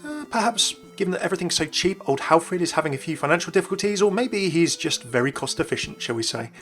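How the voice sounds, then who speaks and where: A man's voice: a little high, lively intonation, fast talker, a bit bright, soft background music. A young man hosting a gaming video with scripted narration. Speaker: YouTube gaming host.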